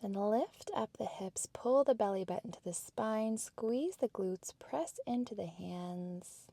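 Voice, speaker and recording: A woman instructor giving soft, breathy, measured cues for a guided workout in a calm meditation cadence. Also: medium pitch, no room sound. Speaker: fitness and yoga instructor.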